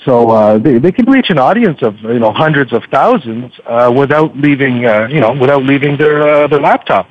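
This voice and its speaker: Halting man, dull radio sound. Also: medium pitch, lively intonation, dry recording. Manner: halting, repeats words mid-sentence. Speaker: podcast guest, book reviewer. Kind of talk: conversational radio interview.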